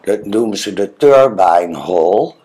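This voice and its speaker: Very low-voiced man, unhurried, casual. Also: expressive, dark tone. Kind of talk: casual, personal conversation. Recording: echoey room.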